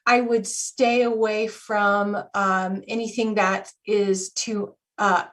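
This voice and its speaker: Forties woman, guest reader on a video call, explanatory with filler pauses. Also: mid-range voice, flat delivery, fairly bright tone. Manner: explanatory, frequent ums. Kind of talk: live video call reading.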